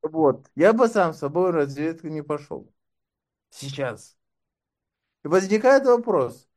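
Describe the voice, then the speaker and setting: Wry, sardonic man with raised pitch. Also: big pitch swings, a little slow, a little mellow. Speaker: interview guest, doctoral candidate. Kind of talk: casual conversation.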